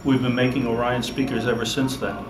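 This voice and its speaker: A man explaining a product in an interview, halting and matter-of-fact. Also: medium pitch, level tone. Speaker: loudspeaker maker. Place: exhibit room at an audio show. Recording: echoey room, music behind.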